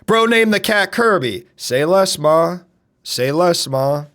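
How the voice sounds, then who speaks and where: Loud, brash man with a deep voice. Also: expressive, takes it slowly. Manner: loud and brash, swearing, swinging from shouts to mutters. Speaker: streamer. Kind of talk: casual live-stream chat.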